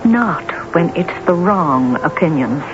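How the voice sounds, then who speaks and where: Vain, domineering lady novelist, slow and emphatic. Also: lowish voice, expressive, highs cut off. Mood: insistent. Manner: emphatic, self-satisfied, repeats herself for effect. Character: vain, domineering woman novelist, insistent and easily wounded. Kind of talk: radio drama acting. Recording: band-limited vintage radio broadcast with music.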